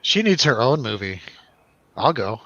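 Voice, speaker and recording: Bright, forceful-voiced man hosting casual chat. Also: mid-range voice, sing-song, a little slow, no echo, dull audio. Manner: joking, teasing. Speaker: host of a film review show. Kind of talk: casual jokey panel chat.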